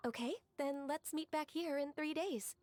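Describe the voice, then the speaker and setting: Woman playing a high-voiced, gentle, dutiful maiden. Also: moderate pace, dry recording, natural voice. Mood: earnest. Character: gentle, dutiful, modest half-human, half-beast woman secretary. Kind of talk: video game character acting.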